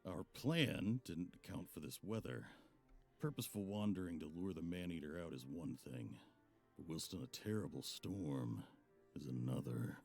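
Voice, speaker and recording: An actor playing a sardonic, haughty monster hunter and bickering lover in a visual novel game. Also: male voice, rumbling low, expressive, moderate pace, vocal fry, darkish tone, soft background music, heavily acted. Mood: scornful, exasperated. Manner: sarcastic, haughty, old-fashioned wording.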